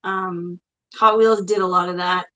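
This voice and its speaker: A woman in her forties hosting a casual chat, bubbly and gushing with quick asides. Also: on the low side, fairly even intonation, bright, forceful tone, rough recording. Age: 40s. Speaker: host.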